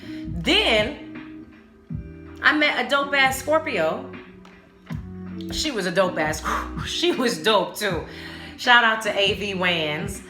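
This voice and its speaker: A woman's voice: medium pitch, expressive, slow pace, pauses often. A woman hosting a casual solo podcast, speaking candidly and emphatically in slang, with frequent swearing. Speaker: podcast host. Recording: roomy echo, music behind the voice. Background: music.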